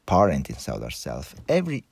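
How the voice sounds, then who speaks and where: A man from Italy with an Italian accent, hosting a podcast as a personal monologue in reflective, halting phrases with repeated restarts. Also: rumbling low, huge pitch swings, gravelly, breathy edge, dry recording. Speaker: podcast host.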